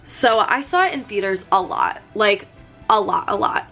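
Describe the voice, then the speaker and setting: Young woman, slightly hoarse, casual chatty vlog, lots of "like", bright voice, faint background music. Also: mid-range voice, animated, moderate pace, low-quality recording. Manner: chatty, full of "like" and "um". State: slightly hoarse, getting sick. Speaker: vlogger. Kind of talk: casual chat while doing makeup. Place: sitting on a fur rug at home.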